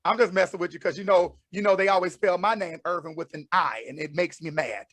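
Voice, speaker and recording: A man's voice: high voice, quick pace, a bit bright, no echo. A man hosting a casual group chat on a spoken-word show, preachy and teasing by turns. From St. Louis. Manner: preachy and teasing, calls people out with jokes. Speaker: host.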